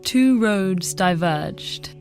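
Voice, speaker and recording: Woman, verse recitation, deliberate slow phrasing, soft music behind. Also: mid-range voice, animated. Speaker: poetry reader. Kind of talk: poetry recitation.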